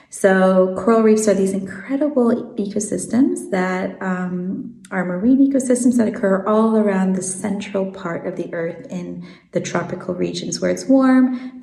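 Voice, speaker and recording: A woman in her thirties with an American accent, a marine biologist explaining things in an interview, measured and full of "um" fillers. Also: medium pitch, creaky, darkish tone, echoey room. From Swiss South African.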